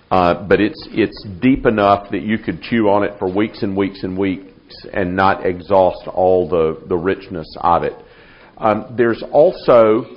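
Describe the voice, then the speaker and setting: A man, a theology professor, answering audience questions in a live talk, measured and unhurried with many fillers and restarts, with a loud voice. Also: lowish voice, highs cut off. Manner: loud projecting voice.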